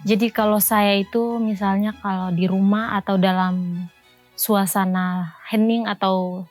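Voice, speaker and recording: A woman's voice: mid-range voice, fairly even intonation, measured pace, darkish tone. A woman in her twenties introducing herself as a contestant on a dating show. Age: 20s. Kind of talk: self-introduction. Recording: music playing behind the voice. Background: music.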